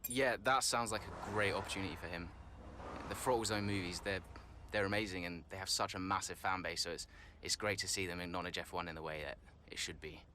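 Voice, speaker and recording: A man's voice: sits low, steady tone, brisk, sharp tone, slight background noise. A man playing a good-natured young racing driver in a video game, talking in a hesitant, unassuming, conversational way. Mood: friendly, hopeful.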